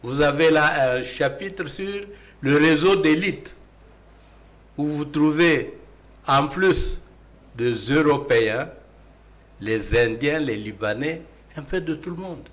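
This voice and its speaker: A man's voice: a little high, takes it slowly, long pauses, fairly bright tone. A man with a Congolese accent, arguing emphatically as a panelist in a political debate and posing rhetorical questions.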